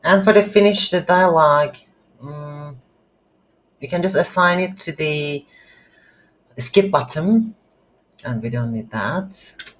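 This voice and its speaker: A tutorial instructor thinking aloud in a halting, unscripted way while walking through a screen-recorded coding lesson. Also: medium pitch. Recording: light background noise.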